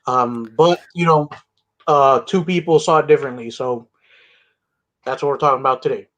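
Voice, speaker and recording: A man's voice: mid-range voice, normal speed, a bit bright. A man co-hosting a casual sports podcast, wry and joking. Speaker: podcast co-host. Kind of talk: casual chat.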